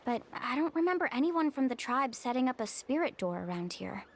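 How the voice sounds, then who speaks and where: Game heroine: upbeat young woman, high-pitched and lively, friendly and thoughtful. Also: fairly quick, full character voice. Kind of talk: scripted video game dialogue.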